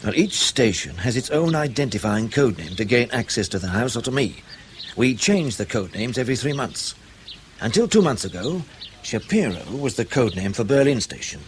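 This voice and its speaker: Radio drama spymaster male actor: British, mid-pitched, wry, clipped, colloquial, over noticeable background ambience. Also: sing-song, normal speed, a little hiss or hum, close to an everyday voice. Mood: matter-of-fact. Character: blunt, wry British intelligence operations chief, Carter. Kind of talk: radio spy drama dialogue.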